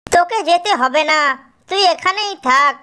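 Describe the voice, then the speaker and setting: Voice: very high-pitched, lively intonation, moderate pace, bright, forceful tone, voice pushed up, full character voice. A performer voicing a hungry, foolish cartoon fox who boasts and makes threats. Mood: threatening. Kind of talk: children's cartoon character voice.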